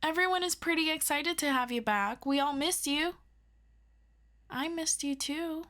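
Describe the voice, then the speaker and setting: A woman's voice: high-pitched, a little slow, pushed and bright. A woman acting as an earnest, chatty superhero best friend in an ASMR roleplay, rambling and hesitant with affection. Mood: awkward, affectionate.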